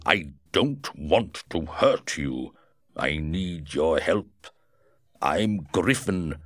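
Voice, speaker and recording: A man's voice: sits low, a bit bright, dry recording, clean studio sound, heavily acted. A man voicing a wounded, desperate invisible scientist, strained and pleading.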